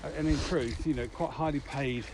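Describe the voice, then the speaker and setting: A man co-hosting a podcast, asking questions and explaining in a measured, casual way while walking outdoors. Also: medium pitch, fairly even intonation. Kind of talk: casual interview-like conversation. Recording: clear outdoor noise, clicks and traffic.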